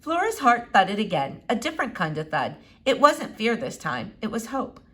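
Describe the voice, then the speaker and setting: A woman reading a children's novel aloud in an expressive, animated way with dramatic pauses. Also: on the high side. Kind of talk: expressive storybook read-aloud.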